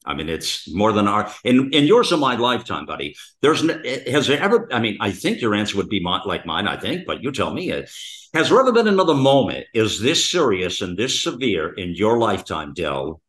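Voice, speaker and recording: An older American man with a low-pitched voice speaks as a talk radio host. His commentary is conversational and emphatic, with phrases repeated to add weight. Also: sing-song, brisk, fairly bright tone.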